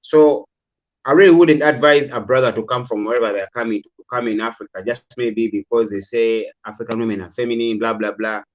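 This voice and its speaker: A man's voice: mid-range voice, a little fast, muffled recording. Man from Uganda, caller, casual, hedging, many fillers. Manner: hedging, repeats "I would say" and "like". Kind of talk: casual call-in discussion.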